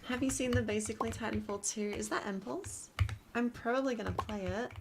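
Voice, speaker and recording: A woman's voice: on the high side, fairly quick, gravelly, noisy background. A woman with an Australian accent, chatting casually as a streamer, laughing often and rambling with fillers. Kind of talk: casual chat.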